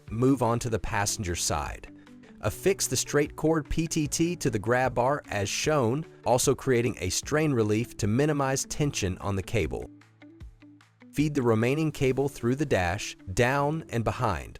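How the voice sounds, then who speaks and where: A man with a medium-pitched voice narrates a scripted how-to guide. He moves through each step clearly and methodically, like a product installation narrator. Music plays faintly behind him. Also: animated, normal speed, a little mellow.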